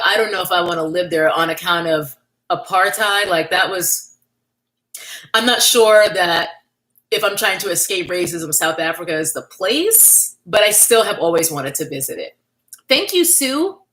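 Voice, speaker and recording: A woman's voice: on the low side, expressive, normal speed, fairly bright tone, rough recording. A woman from New York, hosting a casual livestream, chatting candidly and thanking viewers by name as she reads their comments. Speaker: livestream host.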